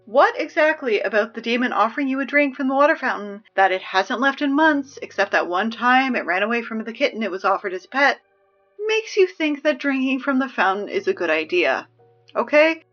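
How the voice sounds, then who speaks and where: A woman's voice: a little high, lively intonation, a little fast, fairly bright tone, dull audio, soft background music. A woman playing a flustered, exasperated teenage school announcer in an audio drama, talking in a hesitant, pleading, rambling way. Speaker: morning announcements host.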